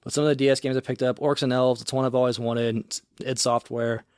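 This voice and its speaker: A man's voice: medium pitch, fast talker, smooth, no echo, studio quality. Laid-back man rambling on a podcast. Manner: relaxed, rambling, casual swearing. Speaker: podcast co-host. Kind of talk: casual chat.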